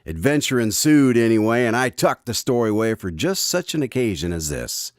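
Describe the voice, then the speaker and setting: Actor as a folksy, animated, blunt everyman. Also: medium pitch, a little fast, no echo, clean studio sound, close to an everyday voice. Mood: storytelling. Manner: folksy, tactless. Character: chatty, blunt, suspicious man telling his adventure. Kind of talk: radio drama narration and acting.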